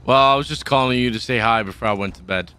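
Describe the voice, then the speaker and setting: A man's voice: medium pitch, quick pace, pushed and bright, clean tone. A man making awkward small talk on an improvised, in-character phone call in a role-play game. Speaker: gamer playing a biker role-play character.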